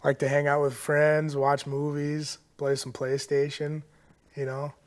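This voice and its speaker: A man's voice: fairly high pitch, monotone, measured pace. A young man around twenty, a college football player chatting casually as an interview guest in a laid-back way. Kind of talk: casual chat.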